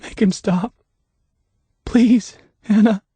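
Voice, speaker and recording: A man's voice: sits high, big pitch swings, extremely slow, mellow, no room sound, pitched above the performer's own voice, heavily acted. A man voicing a desperate male deputy who pleads and breaks, his voice cracking. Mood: begging.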